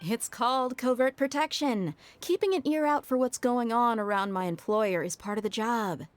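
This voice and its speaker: A woman's voice: on the high side, normal speed, no room sound, close to an everyday voice. A woman playing a stern, protective bodyguard in an anime game, speaking in a firm, matter-of-fact way. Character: stern, dutiful female bodyguard, protective and wary. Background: background music.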